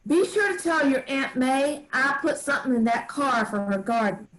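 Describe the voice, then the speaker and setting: A woman acts as an old farm grandmother in a staged play reading. Her voice sits fairly high, and she talks in plain country dialect, handing out firm, kindly orders with love underneath. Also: animated, rough recording. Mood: folksy, instructing. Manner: plain rural dialect, brisk kindly commands.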